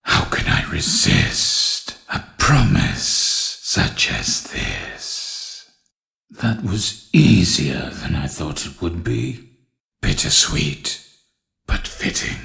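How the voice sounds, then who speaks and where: An undead killer in a robot suit speaks with calculating, refined menace for a horror game. The voice is very low and slow, with a sharp edge and a smug, deliberate delivery. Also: male voice, sing-song, creaky, heavily acted. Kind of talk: video game villain monologue.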